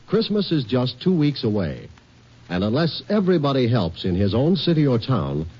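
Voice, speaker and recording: Husky 1950s radio announcer man. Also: medium pitch, warm tone. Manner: polished, declamatory. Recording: dull old radio recording.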